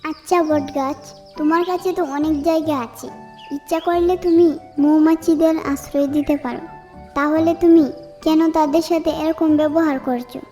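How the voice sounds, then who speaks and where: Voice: high voice, steady tone, moderate pace, dark tone, faint music behind, lightly acted. A performer voicing kindly, polite talking nature characters, a queen bee and a mango tree, in a children's fairy tale cartoon. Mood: gentle, caring.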